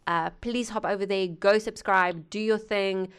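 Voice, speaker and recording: A woman with a smooth, clean voice of middling pitch talks to her viewers from a home studio. She rambles through a casual chat full of fillers and side remarks and teases herself along the way. Her voice is picked up by a gaming headset. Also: steady tone, a little slow, a bit bright. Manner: rambling and chatty, with many fillers and asides; playful, laughing at herself. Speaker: music reaction channel host.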